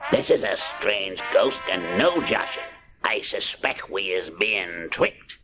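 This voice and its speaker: A man's voice: sits low, very animated, takes it slowly, music in the background, full character voice. A man voicing a scrappy, plucky cartoon sailor in a storybook reading, muttering in ungrammatical salty talk. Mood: wry, curious.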